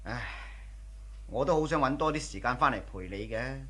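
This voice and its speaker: A doting young husband with a husky, mid-pitched voice. His delivery is tender and solicitous, in radio-drama style. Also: animated, moderate pace, fairly bright tone. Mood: tender, concerned. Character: devoted, doting young husband and shop owner. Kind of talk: Cantonese radio drama acting.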